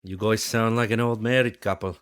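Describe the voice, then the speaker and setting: A man's voice: lowish voice, steady tone, brisk, fairly bright tone, clean tone, dry recording, close to an everyday voice. A man playing a cheeky, proud young passenger in an audio drama, with a breezy, blunt manner. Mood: friendly, teasing.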